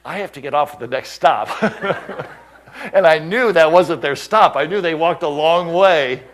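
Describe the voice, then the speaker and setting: A man's voice: fairly high pitch, measured pace. A man, a missionary pastor telling stories in a sermon and acting out the voices of the people he quotes. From United States. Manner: animated storyteller, acts out quoted voices, chuckles. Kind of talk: storytelling sermon.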